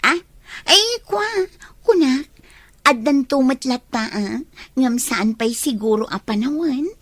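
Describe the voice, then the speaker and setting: A woman's voice: high-pitched, expressive, slow, a bit bright, no room sound, heavily acted. A woman with a Filipino accent playing an evasive, lovelorn young woman in an Ilocano audio drama.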